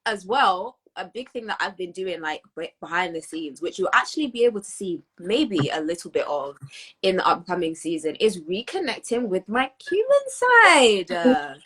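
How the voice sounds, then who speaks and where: A woman's voice: medium pitch, very animated, moderate pace, fairly bright tone, slightly rough. A British woman in her twenties chatting casually as a podcast co-host, emphatic and slangy, dropping in Spanish phrases. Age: 20s. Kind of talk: casual chat.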